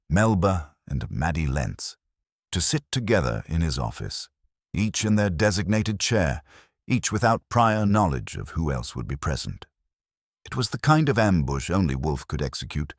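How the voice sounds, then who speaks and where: Very deep-voiced male storyteller. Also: dry recording, clean studio sound. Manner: measured, wry storytelling with deliberate emphasis. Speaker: narrator. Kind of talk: scripted first-person mystery narration.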